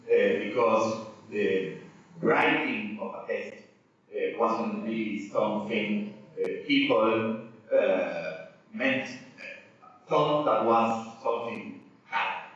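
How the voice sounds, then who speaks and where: A man's voice: lowish voice, slow, pauses often, fairly bright tone. A man giving a technical presentation to a small audience, hesitant and full of fillers and restarts, apologetic about his English. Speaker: conference speaker. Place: small lecture room. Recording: echoey room, distant mic, dull sound.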